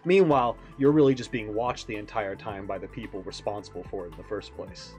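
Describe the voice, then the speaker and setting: A man's voice: lowish voice, brisk. Man musing over music. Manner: animated, musing, thinking aloud. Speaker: reaction video host, game fan. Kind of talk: casual reaction commentary and analysis.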